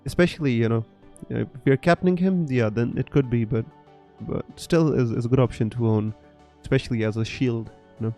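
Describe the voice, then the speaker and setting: Man, from Punjab, mixed international accent, mellow tone, chatty, hesitant, live stream, faint background music. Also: medium pitch, a little fast. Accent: mixed international English, picked up online. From Punjab, North India. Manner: chatty, hesitant, many fillers and restarts. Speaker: fantasy football streamer. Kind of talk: casual live-stream chat, reading viewer comments.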